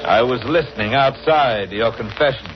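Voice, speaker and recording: An actor playing a calm, stern man coolly exposing a killer, measured, in 1940s radio style. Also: medium pitch, close to an everyday voice. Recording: muffled, noisy old radio recording.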